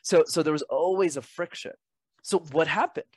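A man's voice: fairly high pitch, normal speed, slightly rough, dry recording. Young man, dry close sound. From Canada. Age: young adult. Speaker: interview guest, communication coach. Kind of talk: conversational advice and personal anecdotes.

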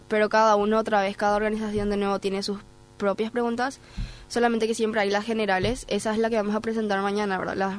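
A woman with a Paraguayan Spanish accent, speaking conversationally as a podcast guest giving advice. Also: medium pitch. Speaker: guest, programme coordinator.

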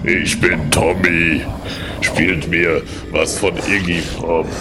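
Man as huge robot orc, very slow, low-pitched, drawn-out, commanding, audio-play fantasy creature, noisy vehicle-like backdrop. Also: level tone. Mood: slow, demanding. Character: giant male robot orc, slow, imposing, demanding. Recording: clear vehicle-like background noise.

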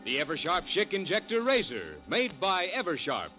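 High-pitched vintage radio ad announcer. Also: male voice, huge pitch swings, moderate pace. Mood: emphatic selling. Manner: emphatic, slogan-selling delivery. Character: punchy male razor commercial announcer. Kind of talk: 1940s radio commercial. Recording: tinny 1940s radio, loud music.

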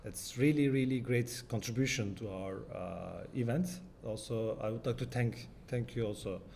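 A man hosting a podcast interview at an event, speaking halting non-native English. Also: mid-range voice, darkish tone. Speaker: podcast host. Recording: clear background noise, event ambience.

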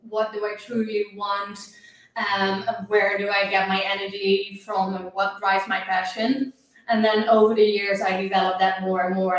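A woman with a Dutch accent, a coach and guest, answering interview questions in a reflective way with frequent fillers. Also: mid-range voice. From Netherlands. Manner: reflective, talks with hesitant fillers. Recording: roomy audio from far off the mic.

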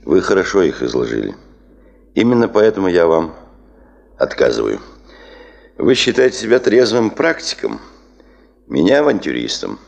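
An actor in a Soviet radio drama playing a stubborn, ambitious male chief of a geological administration, speaking in a deliberate, formal and authoritative way. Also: sits low, very animated, vocal fry, darkish tone, heavily acted. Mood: firm, controlled.

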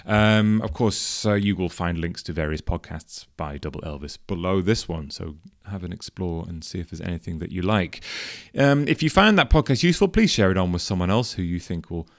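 A man with a slightly low voice hosts a podcast. He talks conversationally as an interviewer, in halting phrases that he builds as he goes. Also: animated. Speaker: podcast host, editor.

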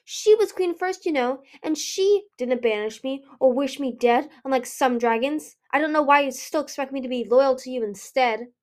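A woman's voice: high voice, animated, normal speed, darkish tone. A woman voicing a confused young female dragon warrior in a storybook, protesting in a plaintive, questioning way. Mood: indignant protest.

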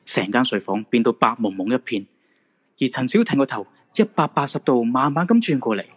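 A man's voice: mid-range voice, expressive, a little fast, husky. A man with a Hong Kong Cantonese accent narrating a supernatural radio drama in a dramatic storytelling style.

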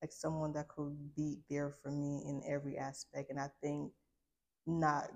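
A woman's voice: deep voice, monotone, moderate pace. A woman in her twenties from Liberia telling a candid personal story in an informal, reflective, conversational way. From Liberia, later United States. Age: 20s. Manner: informal, reflective, frequent use of "like". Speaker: guest sharing a personal testimony.

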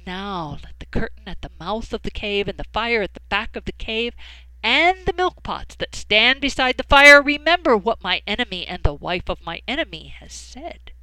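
Give me a proud, wheedling wild tomcat from a storybook, as a woman reading aloud puts him on. He speaks slowly and high, drawing out his words with a lively, swooping pitch. The sound is dry and close. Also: no echo, low-quality recording. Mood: grand. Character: proud sly male wild cat.

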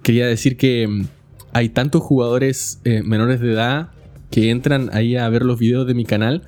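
A man's voice: mid-range voice, fairly even intonation, normal speed, darkish tone, soft background music. A man from Chile with a Chilean Spanish accent hosting a casual esports podcast, explanatory and given to joking. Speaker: podcast host.

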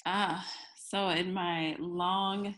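A woman's voice: lowish voice, steady tone, drawn-out words, a bit bright. A woman in her twenties, a guest, chatting cheerfully and giggling now and then. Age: 20s. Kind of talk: casual interview chat.

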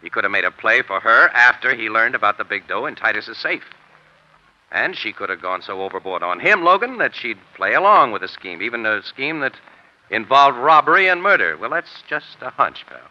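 A man's voice: mid-range voice, sing-song, fairly quick, sharp tone. A man playing a streetwise, knowing American crime photographer with a punchy, wry delivery in a 1940s radio drama. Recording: dull, lo-fi old radio recording.

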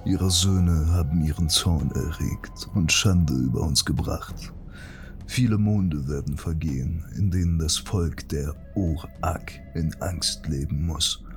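A man voicing an orc shaman who gravely recites a dark, ancient prophecy in a measured, portentous audiobook style. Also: extremely low pitch, faint music behind, exaggerated voice. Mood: grave, foreboding. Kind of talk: solemn scripted fantasy audiobook recitation.

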